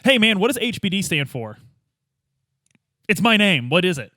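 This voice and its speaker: High-voiced guy role-playing, super expressive, kinda confused and hesitant, casual chat. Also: normal speed, no echo, studio quality. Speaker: player, podcast co-host. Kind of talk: casual improvised role-play.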